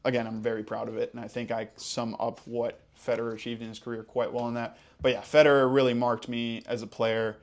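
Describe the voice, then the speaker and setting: A young man with an American accent answers viewer questions in a relaxed vlog. He talks with easy enthusiasm, dropping in casual fillers along the way. His voice sits at an ordinary middle pitch. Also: brisk, hardly any pauses. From Hawaii. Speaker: tennis channel host.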